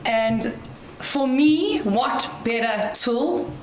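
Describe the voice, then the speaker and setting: A South African woman speaks slowly and loudly in a somewhat high voice, giving an inspirational talk with chatty asides and light laughs. The sound is echoey, as in a hall, with a faint hum behind her. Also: animated. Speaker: guest speaker at a community dinner.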